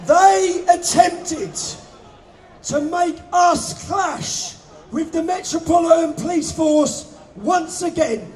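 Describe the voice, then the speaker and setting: A man shouting an impassioned speech to a street rally crowd through a stage microphone. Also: very high voice, takes it slowly. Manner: shouting to a crowd, hoarse-edged. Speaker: rally organiser speaking on stage. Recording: live outdoor rally with crowd. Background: crowd noise.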